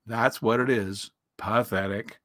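A man's voice: lowish voice, slow pace, dry recording, pitched below the performer's own voice. A man voicing a gloomy, self-pitying old grey donkey from a storybook, with a glum, resigned delivery. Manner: glum, sighing, flat delivery.

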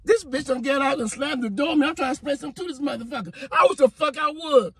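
A man's voice: very high-pitched, quick pace, a crowd in the background. An older man, arguing in a car, stammering and repeating words, swearing often. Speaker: passenger uncle. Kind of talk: casual argument. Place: inside a parked car. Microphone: phone on dashboard.

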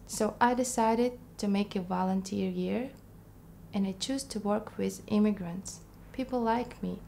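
A woman of twenty-five with a Russian accent, speaking Russian as her first language, telling her personal story to camera in an earnest, reflective and slightly halting way, recorded in a bright studio. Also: mid-range voice, creaky, a little hiss or hum. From Kazakhstan. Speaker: immigrant counselor.

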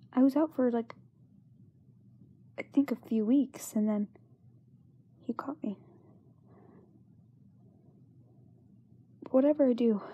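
A young woman playing a frightened, self-doubting young singer in a halting diary monologue, often dropping to a whisper. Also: on the high side, husky, highs cut off. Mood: scared, sad. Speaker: amateur voice actor. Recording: noisy makeshift amateur microphone recording.

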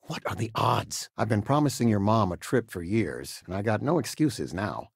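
An actor playing a warm, middle-aged father in a video game, talking in a folksy, affectionate, gently joking and conversational way. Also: lowish voice, sing-song, moderate pace, creaky, husky, no room sound, clean studio sound, full character voice. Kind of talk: natural, conversational game character dialogue.

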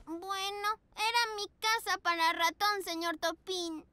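Very high-pitched little girl puppy. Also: takes it slowly, no room sound, exaggerated voice. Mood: sad, dejected. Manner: childlike, expressive. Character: cheerful, eager little girl pug puppy. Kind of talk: children's cartoon dub. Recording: loud music behind the voice.

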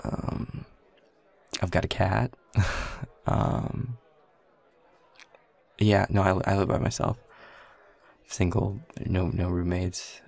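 A man's voice: low voice, level tone, takes it slowly, vocal fry, long pauses, darkish tone, full character voice. A young man playing a shy, self-deprecating new coworker in an intimate audio roleplay, speaking hesitantly and getting flustered. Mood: bashful, awkward. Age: young adult. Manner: hesitant, flustered, stammering, nervous chuckles. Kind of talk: intimate audio roleplay, one side of a conversation.

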